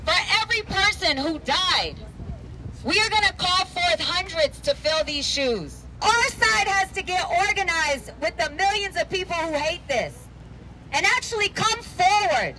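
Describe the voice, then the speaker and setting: A woman's voice: very high-pitched, lively intonation, measured pace, sharp tone, slight background noise, poor audio. A woman giving an impassioned, exhorting speech at an outdoor street protest. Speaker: protest speaker. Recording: street protest footage with music.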